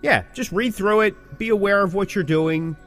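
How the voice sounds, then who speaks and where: A man's voice: high-pitched, normal speed, fairly bright tone, soft background music. A man co-hosting a podcast, talking casually but emphatically, giving advice and repeating key points for stress. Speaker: podcast co-host. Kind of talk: casual talk about the music business, with scripted sign-offs.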